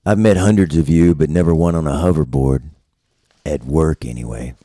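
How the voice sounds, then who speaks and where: A man playing a world-weary, cryptic office drifter, deadpan, in a noir comedy table read. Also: rumbling low, normal speed, no room sound, studio quality. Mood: cutting, deadpan.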